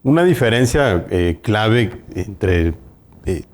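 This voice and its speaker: Man host, low mellow voice. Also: animated, slow pace. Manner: long, winding questions with fillers. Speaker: interview host. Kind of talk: interview questions.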